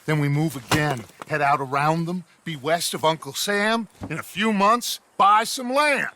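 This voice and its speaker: An actor playing a charismatic, commanding middle-aged outlaw leader in a video game, with an American accent and a theatrical, speechifying manner. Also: male voice, a little high, a little slow, pushed and bright, slight background noise. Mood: grand, reassuring. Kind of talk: dramatic video game acting, grand oratory.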